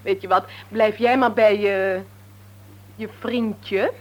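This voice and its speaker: A woman's voice: mid-range voice, fairly even intonation, slow pace, close to an everyday voice. An actress in a radio drama playing an amused, capable woman who takes charge in a teasing, breezy way.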